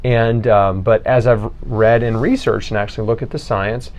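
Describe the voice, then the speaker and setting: A man's voice: on the low side, moderate pace, darkish tone. A man hosting an interview, talking in a conversational way to his guest and audience. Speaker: interviewer and show host.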